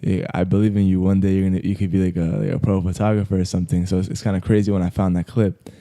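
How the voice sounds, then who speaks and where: A man's voice: deep voice, little pitch movement, very fast, mellow. A young man from Colombia who grew up in South Florida, talking casually as a guest photographer with lots of "like" fillers and slang. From Colombia, raised in South Florida. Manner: laid-back, heavy use of "like" fillers, slangy. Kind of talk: casual podcast interview. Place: podcast studio.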